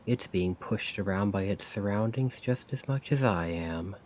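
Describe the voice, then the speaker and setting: Man acting a slow, brooding, weary poet. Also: on the low side, darkish tone, slight background noise, lightly acted. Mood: regretful. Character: weary, self-doubting failed male poet, gruff yet gentle. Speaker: roleplay audio performer. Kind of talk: soft-spoken ASMR roleplay monologue.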